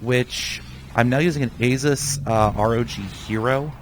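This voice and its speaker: A man's voice: mid-range voice, unhurried, a little hiss or hum, low-quality recording. A young man who is sick, rambling casually in live gameplay commentary and talking to himself as he plays. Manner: rambling, chatty, talks to himself while playing. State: sick, voice somewhat off. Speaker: gaming channel host.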